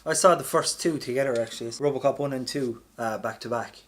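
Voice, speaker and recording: A man's voice: medium pitch, quick pace. An Irish man co-hosting a podcast in a casual, rambling, sweary and colloquial way. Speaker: podcast co-host. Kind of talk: casual chat.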